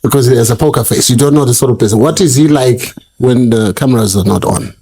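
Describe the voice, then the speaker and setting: A man with a husky voice asking questions in a conversational interview. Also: mid-range voice, fast talker, dark tone.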